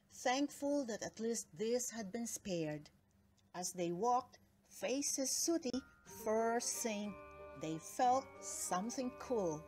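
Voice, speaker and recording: Slow-paced woman reading a children's storybook. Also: on the high side. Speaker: storybook reader. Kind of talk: expressive children's read-aloud narration.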